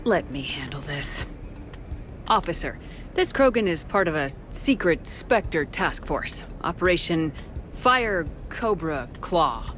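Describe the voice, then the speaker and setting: A woman's voice: low voice, very animated, takes it slowly, fairly bright tone, faint music behind, heavily acted. A woman playing a tough sci-fi military commander in a video game, speaking in a dry, controlled, authoritative way. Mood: firm, resolute.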